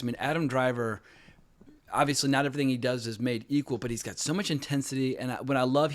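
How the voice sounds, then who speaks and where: A man with a mild North Carolina Southern accent, hosting a casual film podcast chat and swearing now and then. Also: mid-range voice. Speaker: podcast host.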